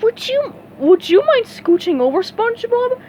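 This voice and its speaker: A woman voicing a slow, dim, good-natured male starfish in a storybook reading. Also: very high voice, sing-song, dark tone, dull audio, slight background noise, voice pushed up. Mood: dopey.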